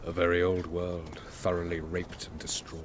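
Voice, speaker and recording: A man's voice: sits low, monotone, noisy background, close to an everyday voice. A man voicing a condescending ancient elf sage. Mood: lofty. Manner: formal, condescending and measured. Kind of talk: video game dialogue.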